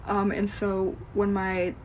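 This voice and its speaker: A woman who was born in Venezuela and grew up in the US, telling a story to camera in an animated, exasperated way. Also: medium pitch, takes it slowly, slight background noise. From Venezuela, raised in the US.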